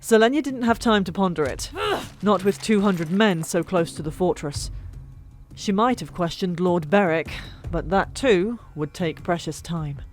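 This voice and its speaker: A woman's voice: fairly low pitch, measured pace. Woman narrating dramatic fantasy over music. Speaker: narrator of a fan-made audiobook. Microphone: old microphone. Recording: music bed, older microphone. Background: background music.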